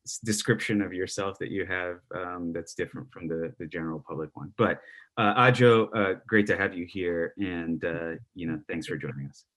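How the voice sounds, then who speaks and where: A man hosts a relaxed interview in a somewhat low voice. He rambles through his questions with plenty of fillers and restarts, his volume often dropping away at the ends of thoughts. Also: normal speed. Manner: rambling, many fillers and restarts, trails off quietly. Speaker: podcast host. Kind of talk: conversational interview.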